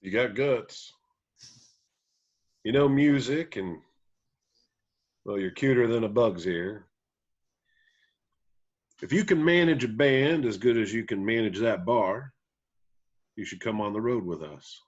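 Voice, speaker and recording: A man's voice: fairly low pitch, animated, slow, pauses often, slightly rough, highs cut off, heavily acted. A man reading an easygoing, teasing country band singer with folksy phrasing in a screenplay table read. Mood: warm, teasing.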